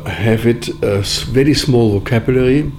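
A man whose first language is Sorbian, answering interview questions in a measured, deliberate way with frequent pauses. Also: medium pitch, a little mellow, a little hiss or hum. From Germany. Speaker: interviewee, editor of philosophical writings.

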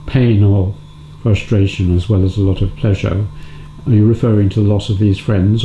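A man interviewing, asking gentle, probing questions. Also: fairly low pitch, reverberant, highs cut off, slight background noise. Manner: gentle, probing questions with soft backchannel murmurs.

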